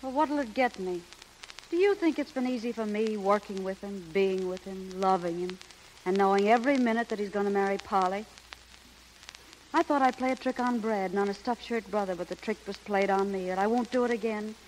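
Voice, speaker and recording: A woman's voice: mid-range voice, fairly quick, natural voice. An actress playing a proud, wounded, stubborn showgirl in love, earnest and dramatic in 1930s radio melodrama style. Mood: anguished confession. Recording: faintly noisy 1930s radio broadcast.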